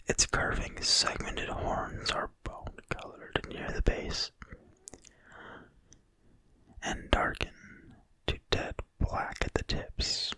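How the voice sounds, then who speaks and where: A person reading in a quiet, breathy whisper, soft and deliberate, as in an ASMR reading of a fantasy book. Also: poor audio. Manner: slow, soft, unhurried, deliberate. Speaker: ASMR video creator and reader.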